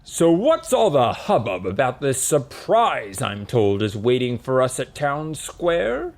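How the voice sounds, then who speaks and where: A man's voice: medium pitch, big pitch swings, slow, gravelly, darkish tone, exaggerated voice. A man voicing an impatient, self-important male god of rocks in a comic fantasy audiobook. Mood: curious, playful.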